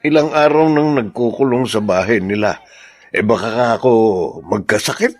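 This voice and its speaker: An actor playing a humble, kindly, awkward man in a Filipino drama, speaking haltingly. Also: medium pitch, no room sound, lightly acted. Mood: sheepish, worried. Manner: hesitant, halting. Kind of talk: Filipino radio-style drama acting.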